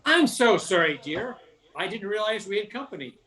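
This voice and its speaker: An older performer acts out a mild, busy husband in an old-time radio comedy. The voice is bright and animated. It comes from some distance off the microphone. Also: medium pitch, moderate pace. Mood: apologetic, matter-of-fact.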